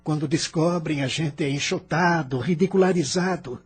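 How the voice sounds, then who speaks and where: A man's voice: a little high, moderate pace, breathy edge, soft background music. An actor with a Brazilian accent playing an embittered, stubborn immigrant man who argues with emphatic self-pity in an audio novel. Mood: resentful arguing. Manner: argumentative, self-pitying, emphatic. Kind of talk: dramatized audio novel dialogue.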